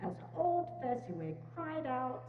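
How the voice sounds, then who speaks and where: A woman's voice: high-pitched, big pitch swings, measured pace. A woman narrating a scripted story at a dramatic reading, recorded in a church hall. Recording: dull, noisy, echoing church hall.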